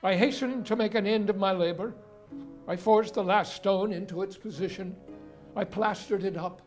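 An actor playing a vengeful, coldly gloating murderer in a dramatic reading of a gothic horror story, with deliberate, theatrical, formal delivery. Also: male voice, high voice, fairly even intonation, soft background music, lightly acted. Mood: grim, solemn. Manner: deliberate, theatrical, formal narration with loud cries.

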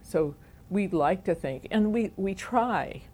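A woman educator speaking earnestly and in a measured way in an interview about higher education. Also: animated, a little mellow, slight background noise. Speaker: educator guest. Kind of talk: conversational interview.

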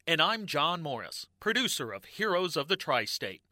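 A man's voice: on the high side, sing-song, normal speed, bright, forceful tone, dry recording, clean studio sound. A man reading scripted narration and credits as the producer of a radio show.

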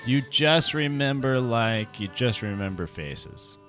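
A man's voice: medium pitch, animated, unhurried, a bit bright. A man talks casually as a podcast co-host over faint music. He is wry and rambles along, circling back to repeat his own phrases. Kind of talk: casual banter.

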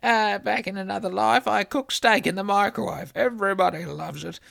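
A performer playing Maureen, a doting, gossipy older Australian woman, co-hosting a comedy podcast in character, agreeable with deadpan asides. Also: mid-range voice, fairly quick, creaky, no echo, clean studio sound. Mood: chatty deadpan. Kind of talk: improvised comedy character chat.

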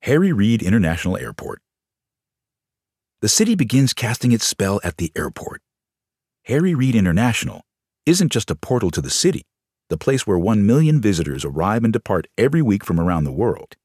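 A man's voice: on the low side, big pitch swings, fairly quick, darkish tone, breathy edge, no room sound, clean studio sound. A man reading scripted nonfiction as an audiobook narrator, with a dramatic, showman-like delivery.

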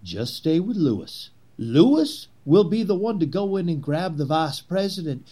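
Male actor as theatrical Confederate plotter, period drama, raised pitch, rough-edged voice, pleading oratory, grandiose. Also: sing-song, moderate pace, warm tone. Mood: instructing. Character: fervent, theatrical Confederate plot leader, persuasive and grandiose, 1860s. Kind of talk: period stage drama acting.

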